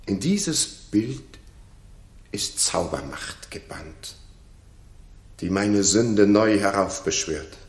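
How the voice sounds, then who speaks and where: An older king full of grief and remorse. His low delivery is slow and fervent, in the grave stage manner of an old radio play. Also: expressive. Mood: remorseful awe. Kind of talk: 1960s German radio drama acting. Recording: roomy 1960s radio recording.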